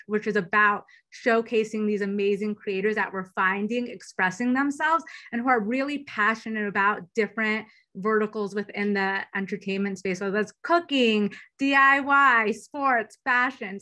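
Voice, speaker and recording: Bright, enthusiastic woman on a video call. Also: mid-range voice. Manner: upbeat, enthusiastic, quick and lively. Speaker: platform executive guest. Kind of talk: keynote fireside chat over video call. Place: small bedroom indoors. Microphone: laptop webcam video call.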